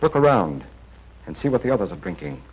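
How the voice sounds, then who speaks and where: A man playing a seasoned, assured intelligence briefing officer in a 1940s training film, giving measured, plain-spoken advice. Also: fairly low pitch, sing-song. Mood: assured, advising. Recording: muffled 1940s film soundtrack.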